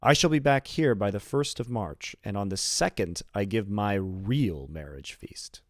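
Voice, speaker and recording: A man's voice: on the low side, lively intonation, a little slow, dry recording. Male reader as happy young bridegroom sailor, eloquent and emphatic storybook dialogue, dry close sound. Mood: cheerful.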